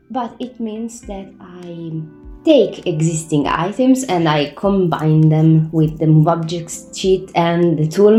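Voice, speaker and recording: A woman's voice: fairly low pitch, dark tone, roomy sound. A woman, a native Italian speaker, hosting her own channel in casual chat, sounding congested from a cold, chatty and self-correcting. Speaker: Sims builder, channel host. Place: room at home. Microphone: new microphone.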